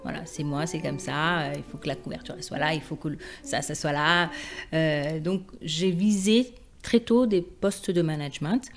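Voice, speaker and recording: A middle-aged woman from the Democratic Republic of the Congo telling her own story conversationally, animated and often searching for her words. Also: low-pitched, moderate pace, soft background music. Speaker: interview guest, independent export consultant. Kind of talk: personal testimony, conversational storytelling.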